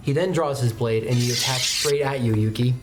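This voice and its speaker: Very low-voiced woman narrating a tabletop game. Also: a little fast, heavy background noise. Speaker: game master. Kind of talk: improvised narration of a tabletop role-playing game. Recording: music behind the voice. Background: background music.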